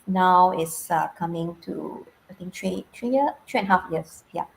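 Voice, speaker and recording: Malaysian woman, low voice, a hesitant self-intro. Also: moderate pace, creaky, poor audio. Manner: hesitant, with many uhs. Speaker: guest on a group live stream.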